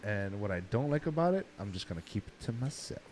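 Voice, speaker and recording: A man's voice: fairly low pitch, big pitch swings, quick pace, a little mellow. Slangy man chatting over background noise. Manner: laid-back slangy, frequent swearing. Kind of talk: casual chat. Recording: room recording with clear background noise.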